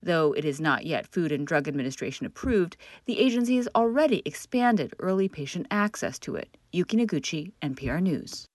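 Lively low-pitched woman reporter. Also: a little fast, few pauses, dry recording. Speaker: health correspondent. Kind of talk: news report.

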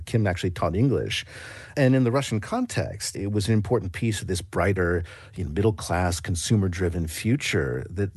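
Man, American accent, conversational news correspondent, deep husky voice, explanatory report. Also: darkish tone, no room sound, clean studio sound. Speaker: foreign correspondent.

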